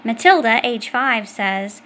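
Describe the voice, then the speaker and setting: Higher-pitched woman reading a children's book. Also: animated, slow pace, pushed and bright, a little hiss or hum. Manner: explanatory, addressing young children directly. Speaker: audiobook reader. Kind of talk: educational children's book narration, read aloud.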